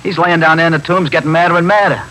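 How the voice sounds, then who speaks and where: A whining, defensive American hoodlum talks fast in a sharp, fairly high voice. He is slangy and evasive, as in 1950s radio drama, with faint noise on the old recording. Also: fairly even intonation, low-quality recording. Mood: pleading. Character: whining small-time crook, defensive, self-pitying.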